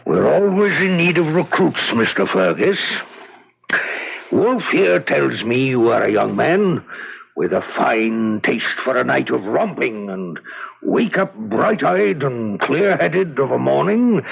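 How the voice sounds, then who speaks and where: An actor playing a genial but sinister older medical-school doctor in a radio drama, jovial and unctuous, with deliberate delivery. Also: male voice, mid-range voice, very animated, exaggerated voice. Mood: jovial, hearty. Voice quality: gravelly. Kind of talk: old-time radio drama acting. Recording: dull, lo-fi old radio broadcast.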